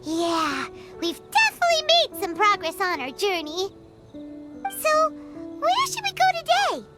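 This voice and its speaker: Tiny squeaky game fairy kid, chatty, gets huffy, super bouncy pitch. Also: female voice, wildly swooping pitch, measured pace, loud music behind the voice, exaggerated voice. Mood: curious, startled. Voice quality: squeaky, childlike. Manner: chatty, indignant, speaks of herself in third person. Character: tiny excitable childlike fairy girl companion. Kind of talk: video game voice acting.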